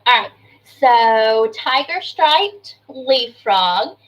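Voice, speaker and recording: A woman kindergarten teacher reading an information book aloud to young children, adding chatty asides, simple explanations and questions in an expressive way. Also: a little high, very slow, highs cut off.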